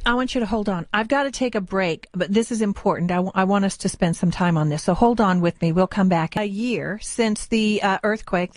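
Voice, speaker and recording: A woman's voice: mid-range voice, dry recording. A woman hosting a conversational radio interview, chatty and plain-spoken, repeating words for emphasis. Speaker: radio host.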